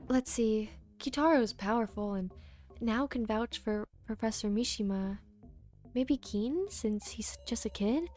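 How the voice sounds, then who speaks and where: A woman playing a wary, easily flustered teenage high school girl in a game. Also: a little slow, a little mellow, faint music behind, close to an everyday voice. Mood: suspicious.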